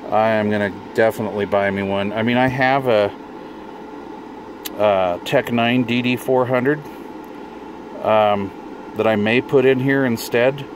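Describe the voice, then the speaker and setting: A middle-aged man giving a casual hands-on demonstration as a hobbyist video host, informal and half talking to himself. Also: fairly low pitch. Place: inside a parked vehicle. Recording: noticeable car and fan noise.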